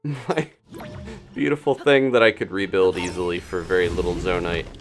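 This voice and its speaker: A man's voice: low-pitched, steady tone, moderate pace, soft background music. A man giving casual live gameplay commentary, chatting and thinking aloud in unfinished asides. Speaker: video game streamer.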